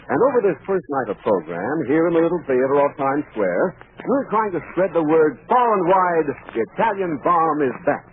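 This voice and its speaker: Male 1940s radio announcer, emphatic sales pitch, lively swooping delivery, thin phone-like recording. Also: moderate pace, hardly any pauses.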